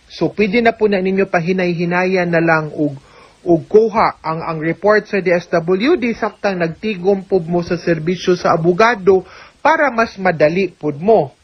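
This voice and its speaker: A woman's voice: on the low side, moderate pace, breathy edge. A woman with a Cebuano Filipino accent giving conversational, step-by-step legal advice in answer to listener questions, often adding small check-in tags. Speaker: legal adviser on a radio programme. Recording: dull, lo-fi radio audio.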